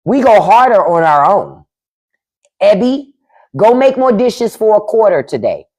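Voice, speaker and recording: Blunt, profane woman with a lively voice. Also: medium pitch, measured pace. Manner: blunt, profane, emphatic, reads and answers chat. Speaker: podcast host on a live stream. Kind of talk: casual livestream commentary.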